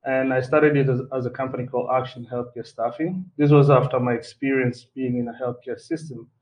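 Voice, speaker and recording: A man from Kenya, a guest entrepreneur, telling his story to a live audience in a relaxed way with fillers and repeated words. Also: medium pitch, a little fast. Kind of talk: personal story at a live talk. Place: event hall before an audience. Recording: distant mic with faint background noise.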